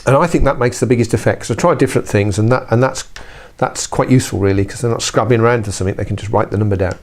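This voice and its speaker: A man's voice: medium pitch, racing. A middle-aged British man presenting an informal video blog as a trainer, chatty and self-correcting, with wry asides. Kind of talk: informal instructional talk to camera.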